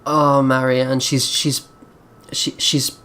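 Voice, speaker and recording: Guy reading a visual novel out loud for viewers, chatty, casual playful comments. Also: medium pitch, little pitch movement, slow. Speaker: let's play host.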